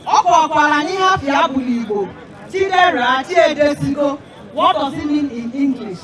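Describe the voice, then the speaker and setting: A woman emcees an outdoor festival, emphatically repeating and explaining answers. Her high voice rings through an echoey loudspeaker and sounds distant. A crowd murmurs steadily behind her. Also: moderate pace. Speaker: emcee quizzing children. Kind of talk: public address at an outdoor festival. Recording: echoey amplified sound from afar over crowd. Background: crowd chatter.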